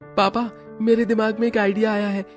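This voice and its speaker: A man's voice: high voice, level tone, normal speed, voice pushed up, lightly acted. A man voicing an affectionate, eager twelve-year-old son from a poor family in a cartoon story. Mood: delighted. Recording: background music under the voice.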